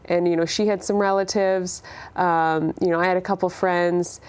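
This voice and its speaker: A woman's voice: fairly low pitch, flat delivery, moderate pace, a little mellow, smooth. A young woman from Canada with a Canadian accent, an interview guest chatting casually and thinking aloud. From Canada, born in Ireland. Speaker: interview guest, singer-songwriter. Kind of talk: casual chat.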